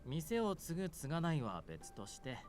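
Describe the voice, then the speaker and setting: Playful demon king, lively range. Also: a little high, quick pace, soft background music. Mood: gently inviting. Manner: playful, teasing, smooth flirting. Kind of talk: Japanese visual novel voice acting.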